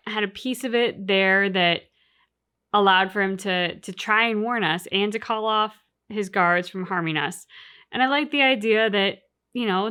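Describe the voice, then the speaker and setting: Female co-host, mid pitch, conversational game reaction, reflective and thinking aloud. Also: a bit bright, clear, resonant tone. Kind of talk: casual chat, game commentary.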